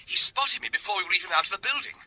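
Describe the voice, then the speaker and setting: Bright, high-voiced junior detective. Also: male voice, lively intonation, vocal fry, slightly rough, heavily acted, low-quality recording. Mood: earnest, flustered. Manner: earnest, hurried reporting. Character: eager, slightly abashed junior police detective. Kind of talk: radio drama acting.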